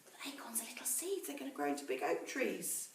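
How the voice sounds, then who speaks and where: A woman reading a story aloud to children in a teacherly way, pausing to explain words and ask questions. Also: a little high, very animated, gravelly. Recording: roomy echo, distant mic, faint noise.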